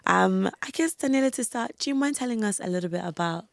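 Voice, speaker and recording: A woman hosting a podcast while croaky from a cold, chatting casually and encouraging her guest. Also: mid-range voice, animated, fast talker, no echo, clean studio sound. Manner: warm encouraging listener, many yeahs. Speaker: podcast host. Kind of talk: casual interview chat.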